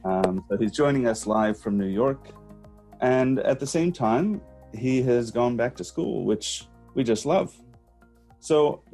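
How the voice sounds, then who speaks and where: A man's voice: mid-range voice, takes it slowly, a little mellow, faint music behind. A man hosting an online event, introducing the guest in an upbeat way. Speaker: host.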